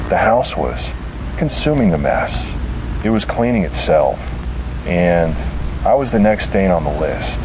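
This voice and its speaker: Low-voiced man narrating horror over noise. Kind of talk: scripted first-person horror narration.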